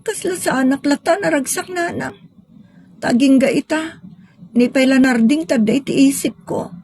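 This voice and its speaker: A woman's voice: high voice, moderate pace, a little mellow. A woman playing a grieving young daughter in a sentimental audio drama, speaking plaintively and hesitantly. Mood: sad, reluctant. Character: grieving young daughter pining for her lost sweetheart.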